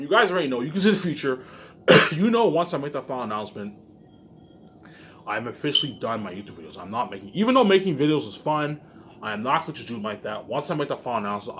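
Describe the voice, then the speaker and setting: A man's voice: medium pitch, a bit bright, slight background noise. A man in an agitated, confrontational unscripted rant, repeating himself and swearing often. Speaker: online video maker.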